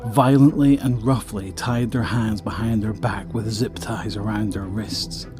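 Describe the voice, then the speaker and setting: Low-pitched male narrator over clear music. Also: steady tone, a little slow, mellow. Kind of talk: scripted story narration.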